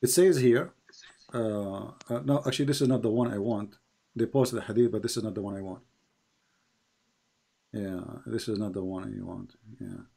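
A man's voice: normal speed, creaky, pauses often, warm tone, husky. A man whose first language is Arabic, hosting and debating a caller, emphatic and repeating phrases for stress. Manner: emphatic, rises high when pressing questions. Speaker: host.

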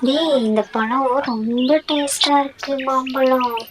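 Lady doing a hyped little kid, pretty high voice, calling friends to hurry. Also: takes it slowly, slight background noise. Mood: excited. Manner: excited, urging others on. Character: excited young child, generous. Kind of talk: cartoon voice acting.

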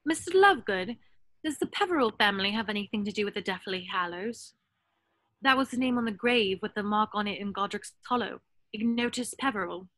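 A woman's voice: medium pitch, animated, fairly quick, fairly bright tone, no echo. A woman playing an earnest, bookish young witch in a scripted table read over a video call. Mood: earnest, matter-of-fact. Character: bookish, earnest young witch reading a dark fairy tale.

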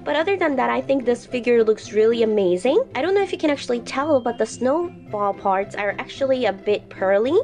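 A woman's voice: on the high side, lively intonation, brisk, few pauses, a little mellow, soft background music. A woman unboxing toy figures in a casual vlog, gushing and repeating words for emphasis while she talks through a lingering flu. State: recovering from flu, breathing heavily.

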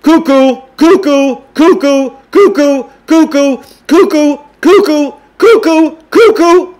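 A man's voice: extremely high pitch, slow pace, darkish tone, voice pushed up. A man voicing a storybook school announcer who helplessly breaks into silly sing-song. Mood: silly cuckooing. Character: male school announcer singing and cuckooing helplessly. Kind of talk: storybook character voice.

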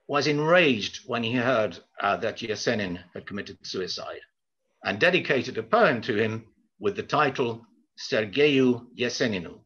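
An older man giving a prepared lecture in a measured, formal manner, pausing and hesitating as he goes. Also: medium pitch, fairly bright tone. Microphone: video call. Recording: slightly dull video call audio.

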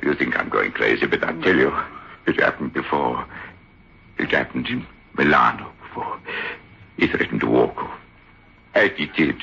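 Very hoarse, tormented ventriloquist. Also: male voice, extremely low pitch, expressive, moderate pace, long pauses, pushed and bright, heavily acted. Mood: desperate. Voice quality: gravelly, very hoarse. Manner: agitated, emphatic, broken English. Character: tormented, paranoid male Italian stage ventriloquist. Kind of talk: old-time radio drama acting. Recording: dull lo-fi old radio recording.

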